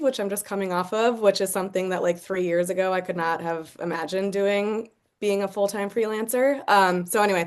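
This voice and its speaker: A woman muralist and illustrator, speaking as a guest on a webinar in a chatty, friendly way. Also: lowish voice. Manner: chatty, friendly, many fillers. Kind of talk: casual introduction on a webinar.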